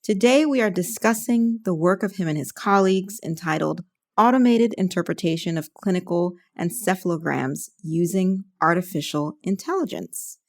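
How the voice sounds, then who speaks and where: A woman's voice: lowish voice, normal speed, a little mellow. A woman hosting a medical podcast interview, asking prepared questions as a neurologist. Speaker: podcast host, physician.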